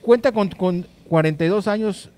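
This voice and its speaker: A man co-hosting a sports show, giving a news round-up in a halting, hesitant way with repeated words. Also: high-pitched, a little mellow. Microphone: handheld microphone, masked speaker.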